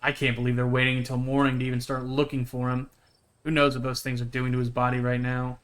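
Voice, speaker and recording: A man's voice: mid-range voice, monotone, fast, close to an everyday voice. A man voicing an earnest, determined young small-town woman who hunts cryptids in a visual novel. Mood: indignant, determined. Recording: game music behind the voice.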